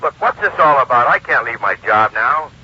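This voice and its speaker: A dull, noisy vintage radio recording carries a curt working man on the telephone, played by a male actor. His pitch moves a lot. He fires abrupt, puzzled questions in radio drama style. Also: expressive, normal speed, lightly acted. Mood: puzzled, alarmed. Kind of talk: 1940s radio drama acting. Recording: muffled, noisy old radio telephone scene.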